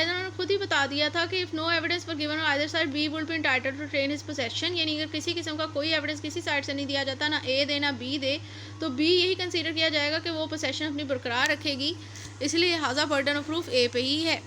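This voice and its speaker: High, bright-voiced woman lecturing. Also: steady tone, moderate pace, a little hiss or hum. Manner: didactic, repeats and rephrases points. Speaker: law lecturer. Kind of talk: explanatory lecture, reading statutes aloud then explaining.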